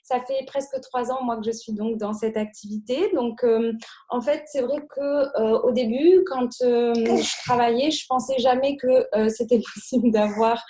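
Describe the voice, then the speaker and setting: A woman's voice: a little high, fairly even intonation, normal speed, dark tone. A woman in her twenties giving a casual testimony over a video call. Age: 20s. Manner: casual and chatty.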